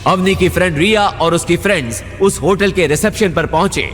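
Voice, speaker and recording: A storytelling narrator played by a man with a fairly high male voice. He delivers a scripted romantic audio drama dramatically, with clear music underneath. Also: brisk, natural voice. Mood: dramatic.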